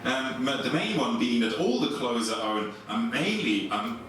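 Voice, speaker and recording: A young Swiss man with a German accent, doing a stand-up set in a comedy club with a dry, deadpan delivery. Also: moderate pace, distant mic. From Switzerland. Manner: dry, deadpan delivery with lively swings in pitch. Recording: echoey club recorded from afar, audience noise.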